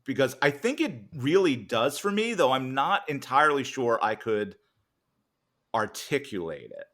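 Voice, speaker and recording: An American man talking casually in an interview, telling things in a halting way as he searches for words. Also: medium pitch, sing-song, fairly bright tone. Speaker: interviewer and interviewee, podcast cast member. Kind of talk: casual anecdotal interview chat.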